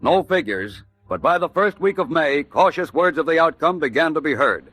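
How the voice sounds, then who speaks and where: An actor playing a solemn, questioning narrator in a wartime documentary radio drama. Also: male voice, fairly high pitch, lively intonation, moderate pace, fairly bright tone, husky. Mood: grave.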